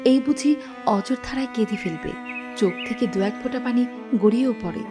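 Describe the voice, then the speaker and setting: Woman narrating a kids tale, highish voice, scripted, music behind. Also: a little fast.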